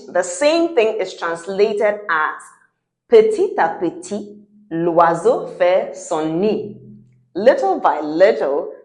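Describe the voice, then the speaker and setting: Low-voiced Ghanaian woman teaching French. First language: Fante. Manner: patient, repeats examples, checks in with "right?". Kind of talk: explanatory teaching talk. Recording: roomy echo.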